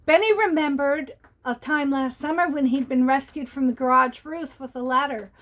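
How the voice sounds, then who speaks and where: A woman's voice: sits high, normal speed, fairly bright tone. A woman reading a children's picture book aloud in a sing-song way.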